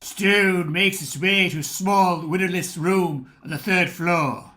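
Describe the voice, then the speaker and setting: Radio tale host, male actor: theatrical, formal storyteller with a high, forceful voice. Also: takes it slowly, exaggerated voice. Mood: theatrical, inviting. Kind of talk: old-time radio narration.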